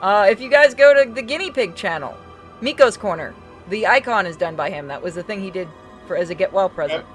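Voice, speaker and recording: A woman's voice: mid-range voice, lively intonation, fairly quick. A woman streaming a video game, chatting casually and informally as she plays. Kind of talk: casual chat while playing a game on stream. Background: game music.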